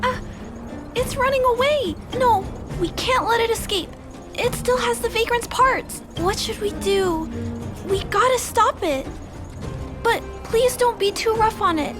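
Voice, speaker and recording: A woman playing a gentle, compassionate little girl in a video game, hesitant and soft-hearted as she speaks. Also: very high-pitched, measured pace. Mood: alarmed, concerned. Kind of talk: video game character acting. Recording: music under the voice.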